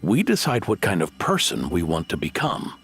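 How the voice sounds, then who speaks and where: Low-voiced, gentle inventor. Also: male voice, huge pitch swings, normal speed, vocal fry, soft background music, full character voice. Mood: thoughtful, patient. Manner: gentle, patient, reflective. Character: kindly, thoughtful male inventor and father figure. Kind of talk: video game voice acting.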